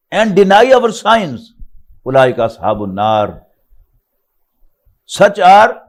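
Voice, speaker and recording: Older Pakistani man teaching Quran. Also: fairly high pitch. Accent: Pakistani English. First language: Urdu. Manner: recites Arabic verses, then explains each phrase in English. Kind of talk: online lecture, reciting and translating verses.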